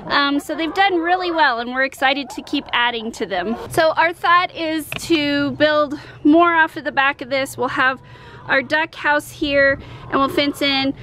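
A woman's voice: sits high, background noise. A middle-aged American woman from Colorado chatting casually and enthusiastically to camera as a homesteading vlogger. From Colorado, United States. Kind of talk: casual vlog chat to camera. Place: outdoors in a yard, later in a parked or moving car. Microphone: camera at arm's length.

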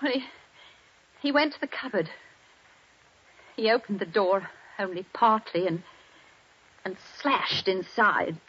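An actress playing a frightened young wife in a 1940s radio drama, pleading and breathless. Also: female voice, mid-range voice, lively intonation, measured pace, pauses often, a bit bright, husky. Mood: anxious. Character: frightened young wife telling detectives what she saw. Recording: dull 1940s radio broadcast.

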